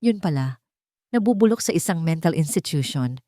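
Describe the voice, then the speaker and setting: A woman's voice: low voice, expressive, mellow, dry recording, clean studio sound. A woman voicing a menacing, scheming rich aunt in a dramatic audio love story, taunting and theatrical. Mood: cruel taunting. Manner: mocking, taunting, slow theatrical delivery.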